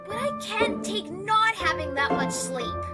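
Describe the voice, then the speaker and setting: A woman's voice: very high-pitched, lively intonation, measured pace. A woman voicing a brash young female pegasus pony, impatient and boastful, in a fan audio drama. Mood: puzzled, impatient. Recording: music under the voice.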